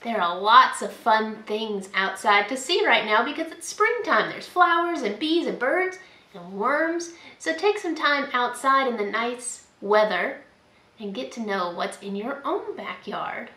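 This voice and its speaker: A young woman reads to small children as a librarian would, in a high, very lively voice. She stretches her words in an expressive sing-song. The room around her adds some echo. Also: measured pace. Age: young adult. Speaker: school librarian reading aloud to children. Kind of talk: children's picture book read-aloud. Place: indoor room at home, by a window.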